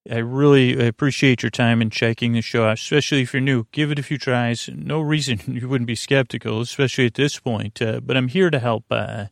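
A man hosting a bedtime podcast with a creaky voice, rambling in a lulling, halting way with trailing asides. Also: mid-range voice, steady tone, no echo, studio quality. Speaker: podcast host. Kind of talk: rambling, soothing bedtime monologue.

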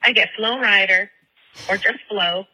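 A woman's voice: mid-range voice, unhurried, sharp tone, husky, poor audio. A middle-aged woman from California leaving a chatty, giggly voicemail over the phone, gushing and affectionate. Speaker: caller.

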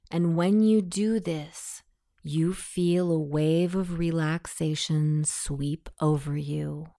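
A woman leading a guided self-hypnosis meditation in a soothing, gentle, measured way. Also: lowish voice, gravelly, dry recording, clean studio sound.

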